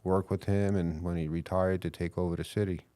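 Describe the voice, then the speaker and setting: A man's voice: low voice, level tone, a little fast, warm tone, dry recording, studio quality. A middle-aged man with a New York accent, a police chief talking casually and earnestly in an interview, with frequent hesitations. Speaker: police chief, podcast guest.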